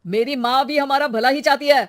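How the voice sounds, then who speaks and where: A woman's voice: mid-range voice, lively intonation, a little fast, no room sound, studio quality, close to an everyday voice. A woman voicing a downcast, short-tempered young husband in a story, blunt and exasperated in manner.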